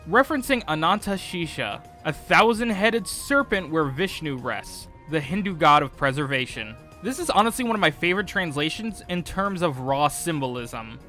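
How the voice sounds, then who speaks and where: A man's voice: fairly high pitch, sharp tone. A man hosting a fan video, delivering scripted explainer commentary with informal, opinionated asides. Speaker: host of a fan video about game name localizations.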